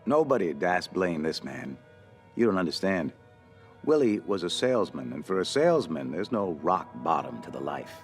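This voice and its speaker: A man's voice: low voice, very animated, moderate pace, faint music behind. An actor playing a plain-spoken, weathered friend mourning a salesman in a dramatic stage reading. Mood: solemn, protective.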